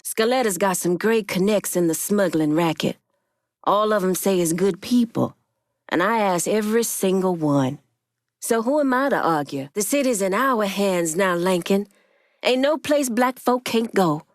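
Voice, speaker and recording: A woman playing a proud, shrewd Haitian gang boss in a video game, speaking in a stern, deliberate, profane street-tough way. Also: mid-range voice, dry recording, clean studio sound, lightly acted. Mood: proud, assured. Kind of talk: video game character dialogue.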